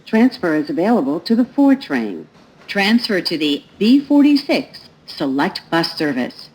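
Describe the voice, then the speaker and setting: Female transit announcer, lowish voice, formal and scripted, with faint train noise behind. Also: sing-song, measured pace, a little mellow. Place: recorded announcement played over subway car speakers. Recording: muffled, noisy recording inside train. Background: train ambience noise.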